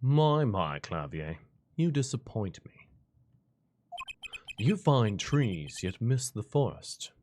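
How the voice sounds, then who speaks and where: A man reading the lines of a calm, condescending male defense attorney during a game playthrough, in a measured, patronizing way. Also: on the low side, slight background noise. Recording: game music behind the voice.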